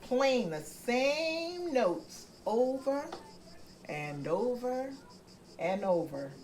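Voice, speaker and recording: A woman reading a picture book aloud as a bedtime story for children, speaking gently. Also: on the high side, very animated, very slow, slight background noise. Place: home room with plants on the table.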